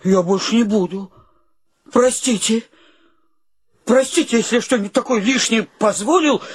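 A man playing a flustered, romantic young bachelor in a theatrical radio play, excitable and gushing. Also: sits high, normal speed, breathy edge. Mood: sheepish.

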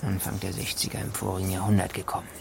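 A gravelly, fairly low-voiced gamekeeper in a radio drama. He is callous and evasive, answering in terse, grudging, dismissive bits, with clear noise behind him. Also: completely flat delivery, quick pace. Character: evasive, callous village gamekeeper acting as constable. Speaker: actor in a radio play. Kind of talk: German radio drama dialogue. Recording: noisy background ambience.

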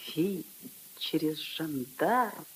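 An actress playing a refined, compassionate princess in 1950s radio drama style, with a breathy voice. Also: female voice, deep voice, animated, drawn-out words, a little hiss or hum, exaggerated voice, poor audio. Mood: disdainful.

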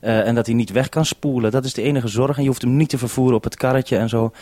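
A man's voice: medium pitch, steady tone, fast talker, a little mellow, no room sound. A man interviewing informally as a radio programme maker, explaining and asking questions in unscripted talk. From Netherlands.

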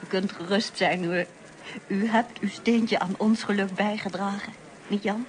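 A woman's voice: medium pitch, fairly even intonation, measured pace, natural voice. An actress playing a candid, teasing married woman and former school troublemaker in a radio drama. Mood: sincere, fond. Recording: slightly noisy old radio play.

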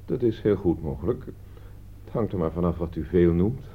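An actor playing a defensive thirty-year-old idler under questioning, speaking evasively in a radio play. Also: male voice, low-pitched, expressive, moderate pace, slight background noise. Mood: defensive, shaken. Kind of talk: Dutch radio drama dialogue. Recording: dull, band-limited old radio recording.

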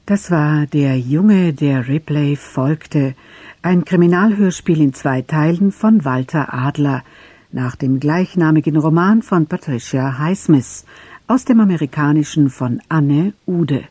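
A woman reads scripted credits for a radio drama. Her voice is soft and husky, unhurried, recorded close and dry. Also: deep voice, dry recording. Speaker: announcer reading credits.

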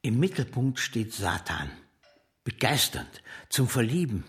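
Husky, defiant socialist leader. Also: male voice, medium pitch, very animated, measured pace, a bit bright, exaggerated voice. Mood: ardent. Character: defiant, idealistic male socialist leader, ardent and proud. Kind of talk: German radio drama acting.

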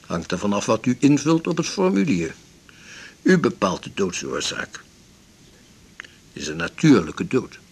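An actor playing an elderly, blunt, resolute widowed seaman in a radio drama, speaking in a measured, formal, philosophical way. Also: mid-range voice, sing-song, vocal fry, slightly rough, exaggerated voice. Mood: resolute, grave. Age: 70s or older. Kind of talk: 1980s Dutch radio drama acting.

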